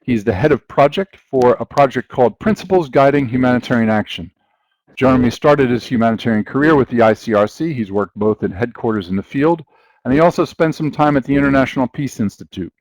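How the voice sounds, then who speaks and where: A man hosting an online session, presenting in a measured, formal way as he introduces the event and guest. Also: medium pitch, expressive, a little mellow, dry recording, poor audio. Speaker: webinar host introducing a guest speaker. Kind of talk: scripted webinar introduction.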